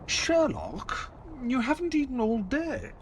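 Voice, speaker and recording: An actor playing an earnest male doctor who narrates an audio drama as his memoir, with expressive, dramatic delivery. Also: very high-pitched, slow pace, a little hiss or hum, heavily acted. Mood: earnest, reassuring.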